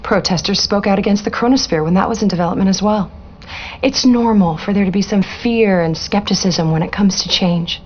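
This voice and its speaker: A woman's voice: mid-range voice, fairly quick, lightly acted. An actress playing a poised, calculating corporate spokeswoman in a video game, speaking in a polished, composed way. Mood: reassuring. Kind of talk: scripted video game briefing. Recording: dull audio with faint background noise.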